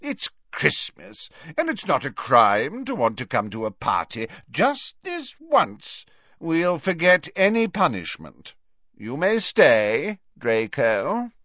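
A man voicing a jovial, tipsy older male professor in an audiobook, booming and effusive. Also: huge pitch swings, takes it slowly, fairly bright tone, no echo, dull audio, full character voice. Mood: soothing, magnanimous.

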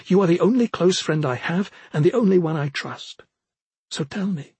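A proud, intense young female commander, voiced by a male narrator, pitched up from his natural register. The delivery is insistent and controlled, as audiobook dialogue with every demand pointedly made. The sound is close and dry. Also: animated, moderate pace, a little mellow, breathy edge, no room sound, exaggerated voice. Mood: earnest, demanding.

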